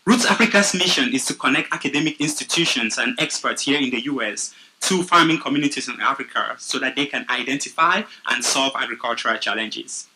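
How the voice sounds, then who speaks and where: A young man from Cameroon with a Cameroonian accent, earnestly pitching his nonprofit in a prepared speech. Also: brisk, noisy background. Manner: earnest, steady storytelling.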